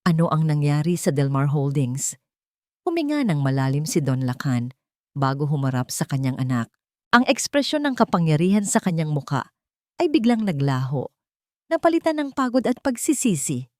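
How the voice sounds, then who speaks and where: Female story narrator, low register, scripted drama delivery, dry recording. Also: sing-song, normal speed, darkish tone, no room sound.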